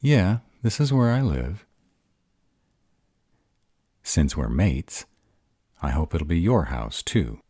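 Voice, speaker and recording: A man's voice: low-pitched, big pitch swings, slow, pauses often, mellow, breathy edge, no room sound, studio quality, exaggerated voice. A man playing a grumpy, insecure were-dragon in a romantic roleplay audio drama, hesitant and defensive as he courts his mate. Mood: shy, relieved. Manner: hesitant, defensive, self-doubting.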